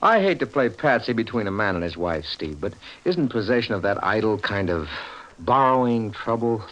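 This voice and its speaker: An actor playing a sensible, concerned brother-in-law, reasoning in 1940s radio style. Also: male voice, on the low side, expressive, moderate pace, a bit bright, poor audio. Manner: reasoning, urging.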